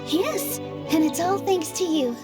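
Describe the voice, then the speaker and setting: Soft-spoken young woman, high voice. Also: lively intonation, measured pace, music in the background. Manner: gentle, earnest storytelling. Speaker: game character dancer. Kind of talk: voiced game dialogue.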